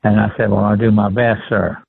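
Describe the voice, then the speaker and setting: A man in his seventies with a Texan accent, recalling his life as an interview guest in a halting, deliberate, polite way. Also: on the low side, little pitch movement, gravelly, husky, dry recording. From rural Texas, USA. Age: 70s. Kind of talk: conversational personal recollection. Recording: tinny phone-line sound.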